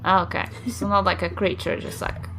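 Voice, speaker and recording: A woman's voice: medium pitch, level tone, brisk, bright, forceful tone, faint music behind. A woman chatting casually as a player in a tabletop game, playful and full of questions. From New Zealand.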